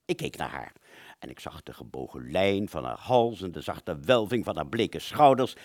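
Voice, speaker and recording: A man's voice: low-pitched, sing-song, normal speed, no echo, clean studio sound, full character voice. A man playing a rambling, agitated wartime fighter pilot who relives battle in a stammering, dramatic radio play monologue. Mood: dreamy, recollecting.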